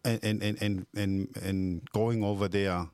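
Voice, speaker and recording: A man hosting a conversational radio interview, speaking haltingly and often repeating words. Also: on the low side, steady tone, darkish tone, clean tone, no room sound. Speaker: radio show host.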